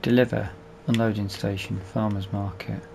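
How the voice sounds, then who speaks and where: A man's voice: on the low side, little pitch movement, moderate pace, creaky, background noise. A man giving casual let's play commentary on a video game, muttering to himself as he plays. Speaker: gaming video host.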